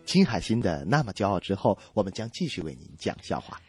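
A man's voice: deep voice, very animated, fast talker, darkish tone, faint music behind. A man co-hosting a comedy radio show, introducing songs and telling jokes with playful banter. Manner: playful, joking.

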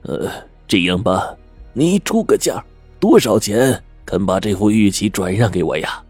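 A man voicing an emotional, wealthy old man who talks in a high, gushing, overly warm way. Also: animated, a little slow, breathy edge, dry recording, faint music behind. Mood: smooth bargaining. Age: older.

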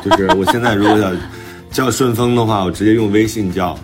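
一位男性脱口秀主播，轻松随意地聊天，爱讲自己的小故事和吐槽。. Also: male voice, on the low side, steady tone, fast, music in the background. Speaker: podcast co-host and author. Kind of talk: casual banter on a talk show.

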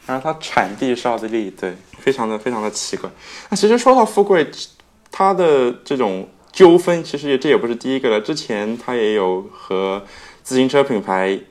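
A man's voice: medium pitch, sing-song, normal speed. A man giving his views as a co-host in a casual motorsport podcast discussion.

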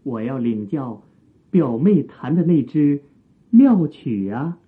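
An actor playing an earnest young court musician of old China in a Chinese radio drama, husky and measured, with literary diction. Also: male voice, mid-range voice, sing-song, heavily acted. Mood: courteous, eager. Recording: muffled narrow-band, music behind.

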